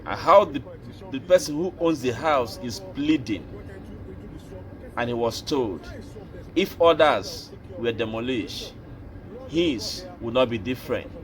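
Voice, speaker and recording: A Nigerian man hosting an opinion show, speaking slowly and deliberately, like a preacher. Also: mid-range voice, animated, a bit bright, rough recording. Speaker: commentary host. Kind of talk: opinion commentary.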